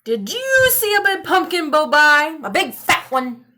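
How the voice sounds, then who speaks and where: A woman voicing a cranky, excitable old man who bawls and croaks in a children's storybook read-aloud. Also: high voice, unhurried, slight background noise, exaggerated voice. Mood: agitated, demanding. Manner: bawling, croaking, exclamatory. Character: cranky excitable eight-hundred-year-old man craving pumpkin pie.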